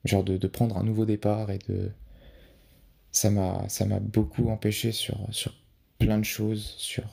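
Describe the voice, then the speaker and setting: Young male guest, low gravelly voice, mellow tone, halting and unpolished delivery. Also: level tone. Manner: hesitant, many restarts and fillers. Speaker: podcast guest, photographer. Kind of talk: casual interview answers.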